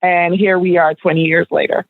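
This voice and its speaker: A woman's voice: lowish voice, level tone, normal speed, no echo. A middle-aged woman from Barbados, a guest on a radio show, telling her story with laughs and fillers. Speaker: guest, spoken word event organizer. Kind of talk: radio interview answers. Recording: dry, phone-like line.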